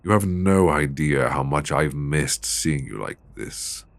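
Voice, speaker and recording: A British man playing a stern, possessive, dominant lover in an intimate ASMR roleplay, speaking deliberately and commandingly. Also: very deep voice, gravelly, heavily acted. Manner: slow, deliberate, commanding with soft endearments.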